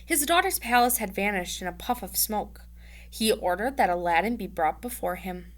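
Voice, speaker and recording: A woman's voice: mid-range voice, animated, moderate pace. Woman reading a storybook aloud, expressively. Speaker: teacher reading aloud to students. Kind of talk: storybook read-aloud with casual asides.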